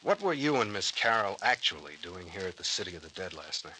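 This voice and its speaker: An American actor playing a shrewd, commanding but breezy private detective captain in an old-time radio serial. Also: male voice, deep voice, very animated, fairly quick, sharp tone, a little hiss or hum, full character voice, low-quality recording. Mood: stern, challenging.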